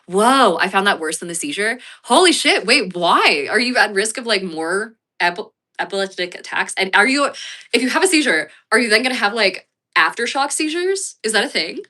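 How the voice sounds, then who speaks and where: A woman's voice: mid-range voice, big pitch swings, moderate pace, bright, forceful tone. An American woman livestreaming and chatting with her viewers, rambling and swearing casually, with a sniffly, congested voice. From United States. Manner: rambling, swears freely, many fillers and restarts. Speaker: livestreamer reading chat. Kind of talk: casual chat.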